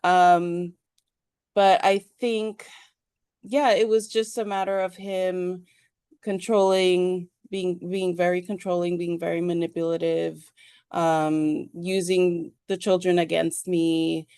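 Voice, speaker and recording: A woman from New York, born in Peru, telling her personal story as an interview guest in a hesitant, halting way. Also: fairly low pitch, level tone, a little mellow, smooth, no room sound, clean studio sound. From New York, USA, born in Peru. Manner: hesitant, halting, thinking as she speaks. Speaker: podcast guest.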